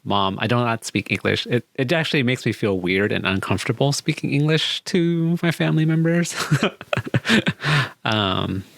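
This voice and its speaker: A man's voice: medium pitch, lively intonation, moderate pace, creaky, a bit bright, no room sound. A man hosting a podcast, talking thoughtfully with lots of ums and restarts. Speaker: podcast host. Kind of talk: casual podcast chat.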